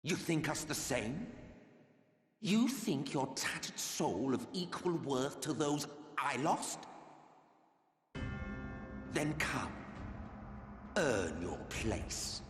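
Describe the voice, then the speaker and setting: A man's voice: fairly high pitch, very animated, extremely slow, long pauses, exaggerated voice. A man acting an ancient, immortal video game villain, bitter, contemptuous and grieving, with grandiose theatrical delivery. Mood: challenging, menacing. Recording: echoey hall reverb, orchestral music behind.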